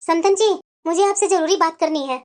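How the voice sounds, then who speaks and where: महिला की आवाज़ में समझदार माँ, ऊँचा पर नरम सुर. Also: female voice, extremely high pitch, a little fast, loud music behind the voice. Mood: composed. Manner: soothing, gently reasoning. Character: older sensible, soothing, indulgent mother. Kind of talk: scripted family story dialogue.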